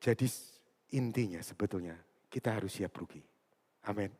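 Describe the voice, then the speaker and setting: A man's voice: lowish voice, normal speed, darkish tone. A man preaching to a congregation in a conversational way, repeating key words for emphasis. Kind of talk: sermon addressed to a congregation, with personal anecdotes. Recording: long hall reverberation.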